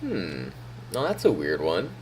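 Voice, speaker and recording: A man's voice: sits low, a little slow, slight background noise. A man streaming on a weekend morning, chatting casually with a groggy, froggy morning voice. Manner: relaxed, talking to himself while troubleshooting. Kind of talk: casual live-stream chat.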